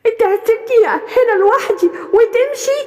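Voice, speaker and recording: Tearful little gazelle for a kids' story, Egyptian accent, a woman voices her, very high. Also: moderate pace, warm tone. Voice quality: crying, pleading. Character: small lost female baby gazelle, timid and tearful. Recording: reverberant room.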